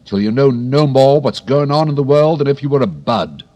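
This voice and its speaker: A man reading a storybook and voicing a proud, bossy female tiger lily flower in an imperious, slow, commanding manner. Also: low voice, animated, slightly rough, exaggerated voice. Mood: haughty.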